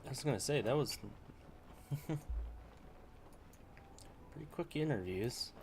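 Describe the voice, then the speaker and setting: A man's voice: mid-range voice, animated, slow, a little mellow, a little hiss or hum. A man streaming a video game, making casual, offhand comments as he plays. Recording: faint game music behind.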